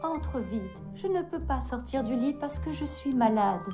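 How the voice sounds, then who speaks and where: Animated old cartoon grandmother. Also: high voice, a little slow. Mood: frail. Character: doting, affectionate old grandmother. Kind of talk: children's cartoon voice acting. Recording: music playing behind. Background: background music.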